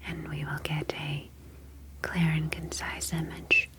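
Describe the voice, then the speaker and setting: A woman playing a calm, formal humanoid alien scientist in an ASMR roleplay, speaking in soft, breathy whispers with measured, deliberate phrasing. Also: deep voice, heavily acted. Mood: clinical, polite.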